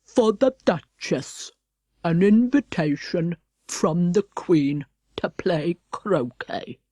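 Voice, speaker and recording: A woman's voice: lowish voice, expressive, slow pace, mellow, no room sound, voice pushed down. A woman voicing a pompous male creature footman who makes announcements in a solemn, ceremonious manner.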